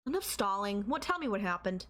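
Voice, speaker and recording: A woman playing a doting, obsessive and calmly manipulative girlfriend in an intimate ASMR audio roleplay, coaxing and softly teasing. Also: medium pitch, fairly quick. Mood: calm, probing. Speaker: voice actor.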